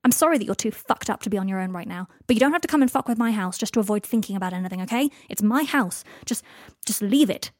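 Lady reading as a gruff irritable guy in a novel, blunt, halting, frustrated, dry recording. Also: a little high, fairly even intonation, no room sound. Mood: bitter, frustrated.

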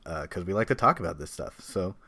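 Low-pitched man hosting a casual podcast. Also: very animated, a little fast, sharp tone, slightly rough. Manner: dry teasing humour. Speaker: podcast host.

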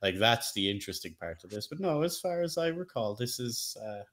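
A man's voice: mid-range voice, sing-song, brisk, fairly bright tone. A man co-hosting a casual podcast chat, speaking enthusiastically and dropping in teasing asides. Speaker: podcast co-host discussing video games and lore.

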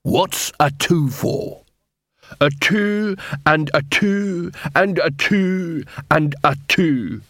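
Guy doing a dim troll for an audiobook, real slow and labored, simple words, earnest. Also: on the high side, a bit bright, no room sound, studio quality, voice pushed up, heavily acted. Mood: puzzled, labored. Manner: halting, labored, simple speech. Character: slow-witted, earnest male troll watchman.

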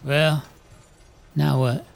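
An actor playing a troubled, apologetic younger brother in an 1890s timber camp drama, husky and halting. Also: male voice, fairly high pitch, level tone, exaggerated voice. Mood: remorseful. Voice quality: gravelly, husky. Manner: halting, meek, deferential. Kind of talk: dramatic acting for an animated storyboard film.

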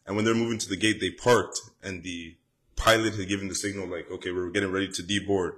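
Low-voiced laid-back young man. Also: fairly even intonation, fast talker, fairly bright tone. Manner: laid-back storyteller, repeats himself, laughs often. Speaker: podcast co-host. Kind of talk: casual chat.

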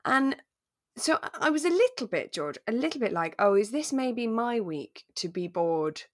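A woman co-hosting a podcast in relaxed, informal, readily amused chat, recapping as she goes. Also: a little high, moderate pace, no echo, clean studio sound. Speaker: podcast co-host.

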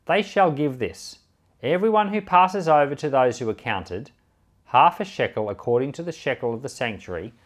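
A man reads scripture aloud in a medium-pitched voice. His intonation rises and falls widely as he stresses phrases. The delivery is measured and solemn. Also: animated. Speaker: Bible reader and podcast host.

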